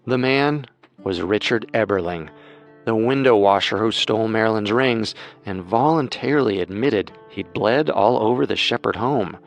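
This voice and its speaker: A man narrates a scripted true-crime story as co-host. His voice is on the low side, and music plays faintly behind him. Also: moderate pace, vocal fry.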